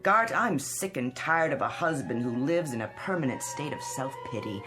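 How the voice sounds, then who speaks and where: A woman's voice: extremely low pitch, animated, moderate pace, over music. A woman playing a cold, ambitious wife in a radio drama, cutting and sarcastic with her husband. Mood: scornful. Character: cold, ambitious, scornful wife of a weary executive. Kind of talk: modern radio drama acting.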